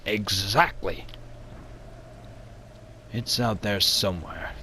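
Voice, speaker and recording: Man acting an anxious, low-voiced archaeologist. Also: slow, bright, forceful tone, slight background noise. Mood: earnest, determined. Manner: hesitant, stammering. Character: anxious, stubborn male archaeologist, desperate for funding. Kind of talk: amateur motion comic voice acting.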